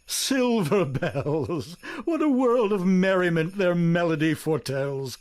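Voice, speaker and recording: A man performing an exuberant dramatic recitation of a poem, theatrical and lilting, with drawn-out words. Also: high voice, lively intonation, a little mellow, pitched above the performer's own voice. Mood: delighted, merry. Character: exuberant reciter of Poe's poem about merry silver bells.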